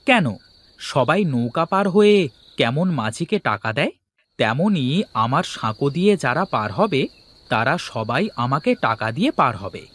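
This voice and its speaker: Male actor as optimistic schemer for a village cartoon; bossy, exclamatory, pitch swinging freely. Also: big pitch swings, normal speed, fairly bright tone. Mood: eager, explaining. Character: scheming, optimistic village husband and gang boss in a comedy. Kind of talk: Bengali comedy cartoon dubbing.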